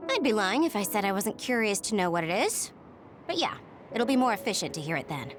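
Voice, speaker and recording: A woman's voice: medium pitch, very animated, a little fast, music in the background, close to an everyday voice. A woman playing a cool, blunt young fighter with dry humour in an English video game dub. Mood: frank. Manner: dry, frank.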